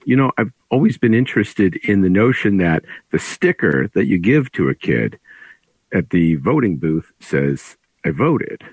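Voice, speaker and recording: A middle-aged man, an author guest, explaining his work in a deliberate, conversational storytelling way. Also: deep voice, husky, dry recording, poor audio.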